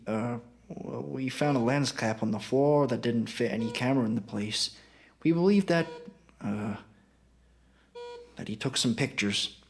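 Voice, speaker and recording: A man's voice: medium pitch, close to an everyday voice. Man as police detective, mid-range voice, blunt, uneasy, hesitant, radio drama. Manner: hesitant, plain-spoken.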